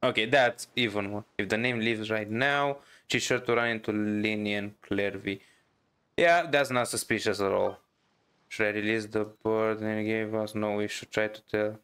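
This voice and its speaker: A man's voice: lowish voice, fairly even intonation, normal speed, fairly bright tone, smooth. A man doing casual playthrough commentary, amused, reading dialogue and thinking aloud in a hesitant way. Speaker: gamer reacting on video.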